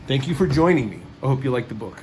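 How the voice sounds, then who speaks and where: A man hosting a storytime, reading a picture book aloud in an expressive storytelling style. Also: mid-range voice, fast, background noise. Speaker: storyteller, host.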